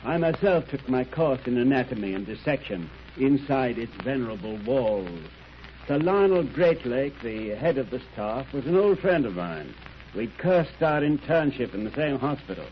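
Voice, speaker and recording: A man's voice: medium pitch, animated, normal speed, close to an everyday voice. An actor playing a genial older English doctor who tells his stories in a chatty, old-fashioned 1930s radio style. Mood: storytelling. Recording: muffled, noisy 1930s radio recording.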